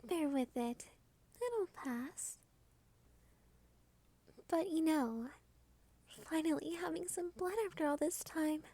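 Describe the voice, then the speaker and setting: A cute, hungry vampire girl, acted by a woman for an ASMR roleplay, with a high, mellow voice. She is doting and domineering, teasing as she drifts toward breathy whispers. The sound is close and dry, with no room around it. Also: no room sound. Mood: blissful, explaining. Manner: slow, teasing delivery slipping into breathy whispers. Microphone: close studio microphone.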